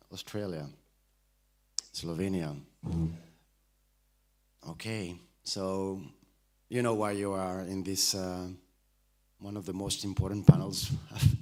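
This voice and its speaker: A French man moderating a festival panel, hesitant and full of fillers, speaking into a stage mic. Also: deep voice. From France. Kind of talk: panel discussion.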